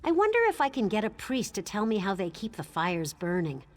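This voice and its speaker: A woman's voice: mid-range voice, very animated, brisk, close to an everyday voice. An actress playing a chatty, bemused townswoman with idle curiosity in a fantasy game. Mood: curious. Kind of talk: game dialogue acting.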